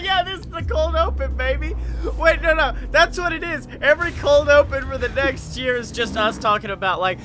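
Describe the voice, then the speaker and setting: Excitable young man, very bright high voice. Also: normal speed, a little hiss or hum. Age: young adult. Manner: excitable, hyped-up, playful. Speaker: podcast host. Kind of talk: casual chat on a wrestling podcast. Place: small room at home, on a video call.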